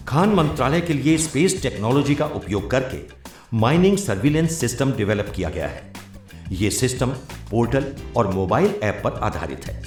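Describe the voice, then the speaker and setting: A man's voice: mid-range voice, darkish tone. A man narrating a formal, scripted documentary-style government training film. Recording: roomy echo, music behind. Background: background music.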